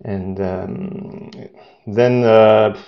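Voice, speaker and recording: A man's voice: on the low side, steady tone, extremely slow, lo-fi sound. A man, a podcast guest who talks hesitantly with many fillers and restarts. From Austria.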